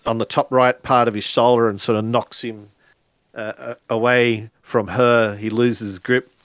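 A man improvising narration for a tabletop role-playing game, pausing often mid-sentence. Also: medium pitch, little pitch movement, dry recording. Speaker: tabletop role-play game storyteller or player.

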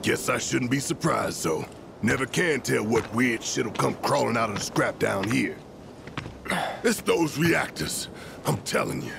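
Gravelly, hot-tempered rebel leader. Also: male voice, medium pitch, animated, unhurried, noisy background. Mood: grumbling. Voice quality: gruff, gravelly. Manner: swearing, commanding, slangy. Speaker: resistance cell leader. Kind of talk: cinematic video game acting.

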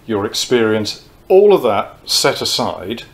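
A man in his sixties gives an informal technical lecture, explaining in a measured way with pauses mid-phrase. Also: fairly low pitch, very animated. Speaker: presenter of technical talks on loudspeakers. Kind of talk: informal whiteboard lecture, reading quotes from notes. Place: indoor room at a whiteboard.

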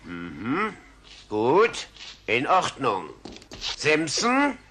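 A man's voice: mid-range voice, huge pitch swings, extremely slow, sharp tone, heavily acted. A man voicing a stern, officious, barking cartoon headmaster in an audio drama. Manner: barking, authoritarian. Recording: faint noise behind.

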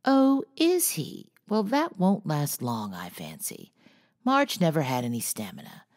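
A woman playing a gruff, scolding old aunt. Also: fairly low pitch, big pitch swings, moderate pace, a little mellow, voice pushed up.

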